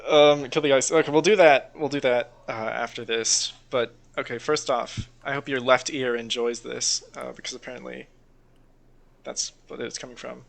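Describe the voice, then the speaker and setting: Gravelly casual man podcasting. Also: mid-range voice, fairly even intonation, moderate pace. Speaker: podcast host. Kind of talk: casual chat, reacting to videos.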